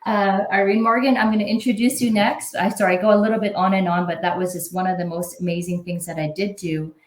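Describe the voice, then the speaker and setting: A woman's voice: medium pitch, fairly even intonation, quick pace, echoey room. A woman hosting a webinar, introducing the next speaker in a chatty, apologetic way, correcting herself as she goes. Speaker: webinar host.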